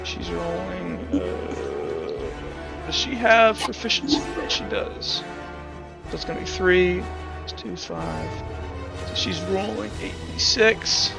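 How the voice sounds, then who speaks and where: A man acting as game master, narrating and explaining rules in a halting, self-correcting way. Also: sits high, huge pitch swings, vocal fry, music in the background. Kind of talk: improvised tabletop game narration and rules talk.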